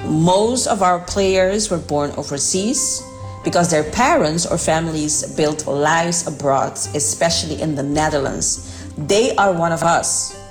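A woman from Curaçao sending a listener voice note, speaking in an earnest, deliberate way. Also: sits low, faint music behind. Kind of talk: read voice message.

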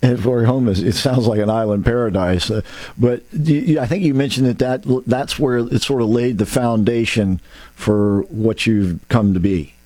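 A man's voice: medium pitch, normal speed, warm tone, no echo. Rambling, folksy talk show host man. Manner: rambling, folksy, many restarts and asides. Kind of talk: casual radio talk show.

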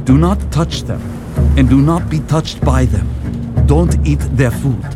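An actor playing a grave, authoritative bishop who gives measured instructions in an audio drama, with music beneath. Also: male voice, medium pitch, close to an everyday voice.

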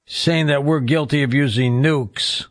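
A man's voice: medium pitch, steady tone, measured pace, no echo, studio quality. A man hosting a radio call-in show, putting questions to a guest and bringing in callers. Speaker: radio talk show host.

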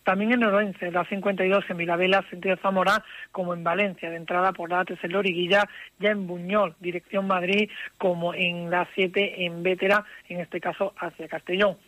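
A man with a Spain accent gives a live radio traffic report. His voice is high and forceful, running through updates at a quick, even clip. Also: no echo, poor audio. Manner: list-like reading of updates.

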